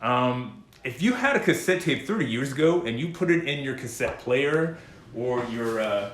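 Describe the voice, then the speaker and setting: A man giving a casual, unscripted music gear demonstration, pausing often mid-sentence as he talks. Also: mid-range voice, animated, fairly bright tone, echoey room. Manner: halting, pausing mid-sentence between phrases. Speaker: host of a music gear demo.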